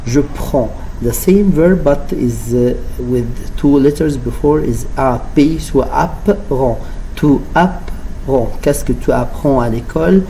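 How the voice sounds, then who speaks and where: A man's voice: mid-range voice, slow pace, gravelly, mellow, slight background noise, poor audio. A man teaching a French vocabulary lesson, switching between French and English and repeating each word for learners. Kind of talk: flipped-class vocabulary lesson.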